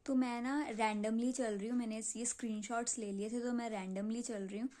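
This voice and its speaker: A woman's voice: on the high side, fairly even intonation, fairly quick, dark tone. A young woman with a North Indian accent chats casually to her subscribers in an informal way, repeating phrases for emphasis. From Punjab, India. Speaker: video creator selling clay. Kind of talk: casual chat to subscribers, Hindi mixed with English.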